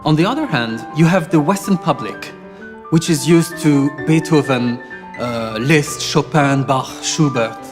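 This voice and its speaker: Male pianist from Morocco, calm prepared intro, reverberant space with music bed. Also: fairly high pitch, measured pace. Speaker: concert pianist. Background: music playing.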